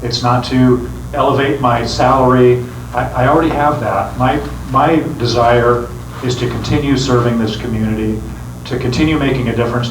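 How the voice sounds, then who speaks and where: Brief: man, sheriff pitching re-election to a crowd, earnest, restarts phrases, heard from across an echoey room with background noise. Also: far from the mic. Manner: earnest, repeats words when starting phrases. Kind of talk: campaign speech to a meeting. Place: meeting room with an audience.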